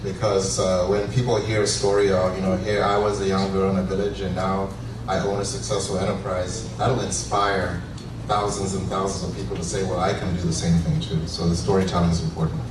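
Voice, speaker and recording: Man panelist, low pitch, level delivery, very reverberant room. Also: level tone, fairly quick. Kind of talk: brief remark on a panel. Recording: very echoey hall, distant mic, noisy.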